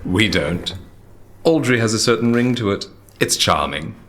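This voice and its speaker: A man's voice: fairly low pitch, animated, normal speed, fairly bright tone. An actor playing a mischievous, smug twin in an audio drama, silky, teasing and mock-formal as he toys with strangers. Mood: teasing, sly.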